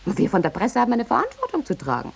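An actress playing a brazen, self-serving newspaper reporter in a 1950s German radio satire, with an insistent, glib, theatrical manner. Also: female voice, on the high side, wildly swooping pitch, very fast, vocal fry, breathy edge, exaggerated voice. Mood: imperious.

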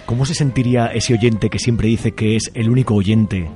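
A man's voice: fairly low pitch, steady tone, moderate pace, mellow, soft background music. A man with an accent from Spain chatting casually as co-host of a radio show.

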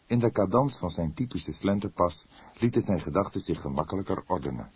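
A man reading a detective novel as an audiobook narrator, in measured, dramatic storytelling. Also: low-pitched, lively intonation, a little mellow, slightly rough, no echo.

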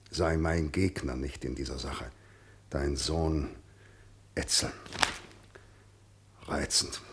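An actor playing a cold, authoritarian middle-aged prosecutor and father in a German radio drama, formal, sardonic and husky. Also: sits low.

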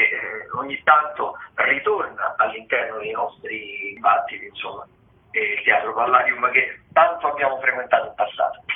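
A man hosting a radio show, discursively presenting theatre listings and thinking aloud as he reads. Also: a little high. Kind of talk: semi-improvised radio commentary on theatre listings. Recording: tinny phone-like radio sound, roomy echo.

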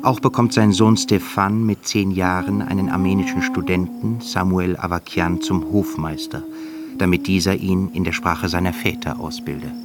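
A man's voice: low voice, fairly even intonation, normal speed. A man narrating a literary radio drama in a scripted, storytelling style. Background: loud music.

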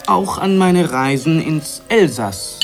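A man playing a pensive, self-absorbed gentleman diner in an absurdist radio play, speaking hesitantly and deliberately. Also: a little high, animated, soft background music. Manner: hesitant, deliberate, ponderous with pauses.

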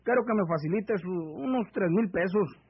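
An actor playing a scheming, greedy husband from the countryside, with a rural northern Mexican accent and an insistent, rustic way of talking, in an old radio serial. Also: male voice, high voice, animated, normal speed, slightly rough, full character voice. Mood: wheedling. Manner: rustic, insistent, pressing speech. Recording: dull vintage AM radio drama recording.

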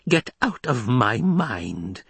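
A man's voice: medium pitch, sing-song, slow pace, gravelly, a bit bright, breathy edge, no room sound, voice pushed up, full character voice. Man acting a severe, venomous old woman. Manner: stern, condemning. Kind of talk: dramatic audiobook character voice.

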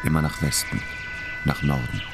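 A man's voice: rumbling low, steady tone, normal speed, creaky, very noisy. A man narrating a radio drama as a grave storyteller, in a scripted, dramatic style. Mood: grave, storytelling. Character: grave storyteller of a science-fiction saga.

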